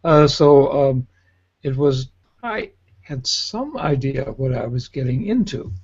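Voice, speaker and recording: A man's voice: medium pitch, sing-song, a little slow, creaky, darkish tone, breathy edge, lo-fi sound. An American man joking and chatting as a guest on a video call from his home in Italy. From United States. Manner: talkative, joking. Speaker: guest, co-host's husband. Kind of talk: casual video call chat.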